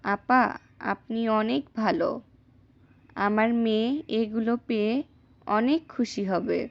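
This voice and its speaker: A woman's voice: fairly high pitch, slow pace, creaky, no echo, lo-fi sound. A woman playing a loving, worried mother from a poor village in a cartoon. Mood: humble, warm. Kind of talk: acted cartoon dialogue.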